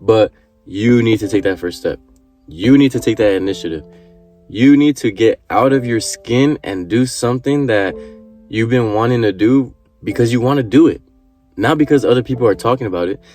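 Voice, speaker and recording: A man's voice: medium pitch, moderate pace, a little mellow, soft background music. Earnest young man urging viewers on. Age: 20s. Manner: earnest, repetitive urging, swears casually. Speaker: vlogger. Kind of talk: motivational casual talk to camera.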